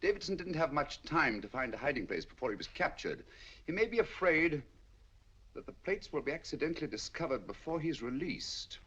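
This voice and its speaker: An actor playing a shrewd, calm detective, speaking in a measured, deliberate way in a 1940s film. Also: male voice, a little high, breathy edge, exaggerated voice. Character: shrewd calm male detective, middle-aged. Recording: dull lo-fi 1940s film soundtrack.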